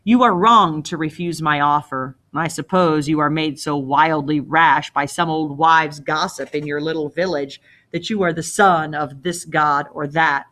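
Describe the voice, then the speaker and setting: A woman's voice: deep voice, fairly even intonation, measured pace, sharp tone, voice pushed down. A woman voicing a proud old king in a storybook read-aloud, scornful and commanding, often mocking with pointed questions. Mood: scornful, suspicious. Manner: imperious, mocking, probing with questions.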